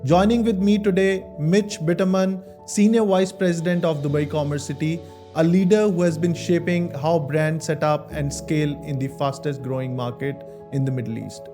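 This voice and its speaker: Mellow-voiced male podcast host. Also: a little high, fairly even intonation, normal speed, soft background music. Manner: polite, welcoming. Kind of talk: scripted podcast intro and interviewing.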